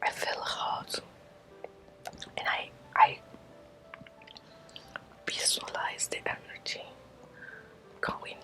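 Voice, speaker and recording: A woman whose first language is Spanish whispers a guided relaxation lesson in ASMR style. Her breathy voice is bright and sibilant, moving very slowly in short phrases with long pauses, with music playing behind her. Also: poor audio. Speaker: Reiki teacher.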